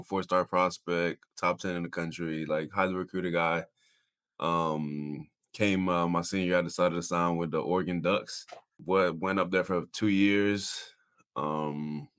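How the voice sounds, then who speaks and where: A young man from Miami with a very low, bright and forceful voice gives a casual interview as a college athlete. He speaks earnestly and informally, circling back over phrases for emphasis. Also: smooth. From Miami, Florida. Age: 20s. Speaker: college football player, interview guest.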